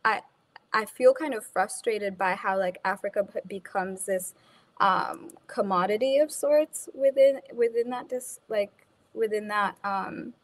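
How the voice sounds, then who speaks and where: Woman speaker with lots of filler words. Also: mid-range voice. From Kenya. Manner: many fillers like "like" and "um", restarts. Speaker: guest speaker. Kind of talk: conversational interview answers.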